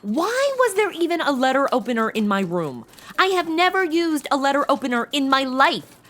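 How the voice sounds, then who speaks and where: A woman's voice: high voice, big pitch swings, normal speed, fairly bright tone. A young woman playing a flippant, indignant, slangy modern detective's assistant in a comedy audio drama. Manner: indignant, flippant, slangy valley-girl delivery.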